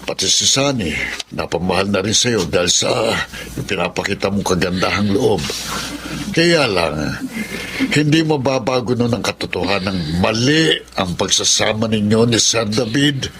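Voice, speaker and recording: A man acting a concerned, kindly household servant in a radio drama, deferential and gently preachy. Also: lowish voice, animated, normal speed, background noise, close to an everyday voice. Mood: concerned, chiding.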